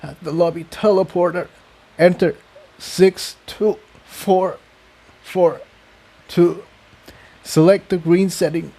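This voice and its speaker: A man voicing a wounded, dying but resolute female pony in a storybook reading, weak and halting. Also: sits high, steady tone, gravelly, dark tone, voice pushed up, exaggerated voice.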